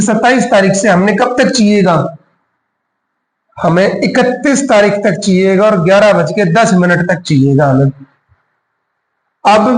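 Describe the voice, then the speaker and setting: A man leads a casual step-by-step tutorial. His voice sits quite high for a man. He explains each step and slips into English terms, and the room adds a clear echo around him. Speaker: tutorial host. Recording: roomy, echoing voice recording.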